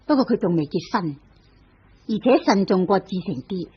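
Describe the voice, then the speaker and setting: Breathy, lilting woman narrator. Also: medium pitch, expressive, normal speed. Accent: Hong Kong Cantonese. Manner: storytelling, lilting delivery. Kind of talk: scripted radio drama narration. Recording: dull old radio broadcast.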